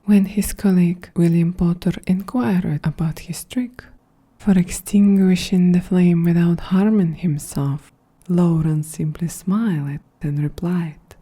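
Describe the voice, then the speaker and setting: A woman voicing a calm, quietly grandiose female android in a breathy, half-whispered, soft-spoken ASMR roleplay. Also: on the low side. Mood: calm, solemn. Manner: slow, measured, solemn delivery.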